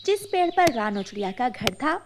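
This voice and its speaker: A woman narrating a children's cartoon story in a steady, clear way. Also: a little high, normal speed, noisy background.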